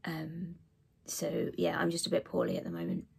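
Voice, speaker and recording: Croaky-voiced woman chatting about books. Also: on the low side, completely flat delivery, moderate pace. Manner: chatty, warm asides, frequent ums. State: hoarse from a cold. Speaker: book vlogger. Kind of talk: casual chat to camera. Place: living room with bookshelves.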